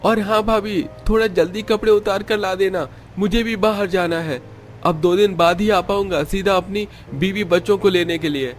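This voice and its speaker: A man's voice: sits high, fairly even intonation, normal speed, slight background noise, lightly acted. A man voicing a young, persuasive husband in a cartoon family drama, in a familiar coaxing manner. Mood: hurried.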